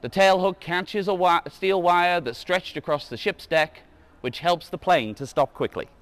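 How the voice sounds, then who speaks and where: A man's voice: sits high, steady tone, a little slow, a bit bright, smooth. A man reading a children's book aloud as a museum guide, adding casual asides and now and then correcting his slips. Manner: stumbles over words and corrects himself.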